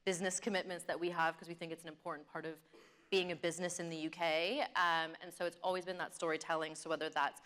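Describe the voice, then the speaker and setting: Woman, lower-pitched, quick pace, bright forceful voice, earnest marketing panelist. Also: flat delivery, clean tone. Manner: earnest, business jargon. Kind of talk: panel discussion, explaining. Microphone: event stage microphone.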